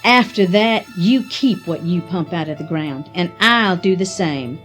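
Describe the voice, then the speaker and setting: A woman's voice: fairly low pitch, lively intonation, a little slow, fairly bright tone, soft background music. A woman playing a defiant, calculating oilwoman and blackmailer in an audio drama. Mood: smugly triumphant.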